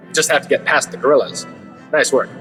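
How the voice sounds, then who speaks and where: A man acts out a cynical reporter in an audio drama. He is sarcastic and snappy, with mid-pitched delivery in a hall, music and faint crowd behind. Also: fast, sharp tone, lightly acted. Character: brash, wisecracking, cynical male reporter.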